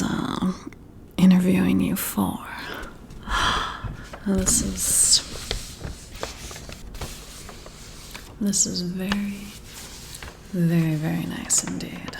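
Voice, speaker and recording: A woman playing a flirty, confident female boss in a breathy, whispered ASMR roleplay, teasing and coy with deliberate, drawn-out phrasing. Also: mid-range voice. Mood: coy, admiring.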